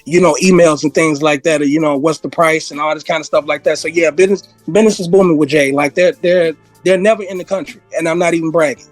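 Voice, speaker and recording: Hoarse high-pitched guy on a podcast, rambly, repeats himself, laughs a lot. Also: steady tone, quick pace, hardly any pauses, no room sound, soft background music. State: hoarse, losing his voice. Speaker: guest, music industry veteran. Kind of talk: casual interview conversation.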